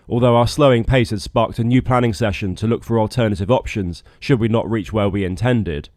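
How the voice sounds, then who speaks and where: Low voiced guy narrating a boat trip vlog, dry understated wit. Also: a little mellow, no echo. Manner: wry, dry understated humour. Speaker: video narrator, boat skipper. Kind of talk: scripted travel vlog narration.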